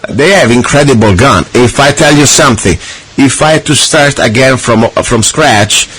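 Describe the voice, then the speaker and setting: A man's voice: medium pitch, moderate pace, fairly bright tone, husky, rough recording. Chatty male radio host. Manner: chatty, with frequent restarts and fillers. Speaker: radio talk show host. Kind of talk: casual radio interview.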